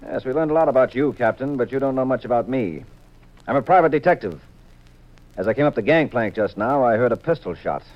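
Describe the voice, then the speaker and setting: An actor playing a cool, shrewd private detective, brisk and assured, in a hard-boiled 1950s radio drama style. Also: male voice, medium pitch, expressive, lightly acted. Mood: firm, bluffing. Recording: muffled, hissy 1950s radio recording.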